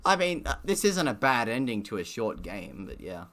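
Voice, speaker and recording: A man's voice: medium pitch, very animated, moderate pace, fairly bright tone. A man streaming a game, joking and swearing casually as he comments and reads its text aloud. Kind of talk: casual gaming commentary, reading visual novel text aloud.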